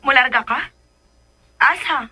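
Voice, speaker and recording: Bright, high lovestruck teenage girl. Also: a little slow, breathy edge, full character voice. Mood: clingy. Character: lovestruck, clingy, chatty adolescent girl. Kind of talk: Filipino radio drama acting.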